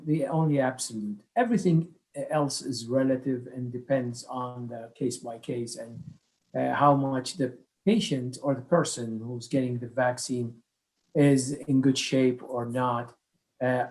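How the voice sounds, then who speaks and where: Man explaining haltingly, mid pitch. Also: steady tone, a little mellow, rough recording. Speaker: medical expert on a panel. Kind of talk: answering an audience question in a webinar.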